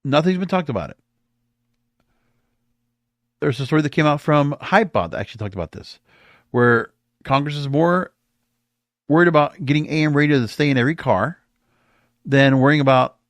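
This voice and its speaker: A man's voice: on the high side, lively intonation, a little fast, long pauses, no room sound. A man with an American accent delivering opinionated podcast commentary as host, emphatic and stretching words for stress. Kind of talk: opinionated solo commentary on the music industry.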